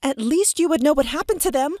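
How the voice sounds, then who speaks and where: A woman's voice: sits high, expressive, fairly quick, darkish tone, dry recording. A woman playing a guarded, defiant stage magician in an audio drama, with a wry, self-assured manner.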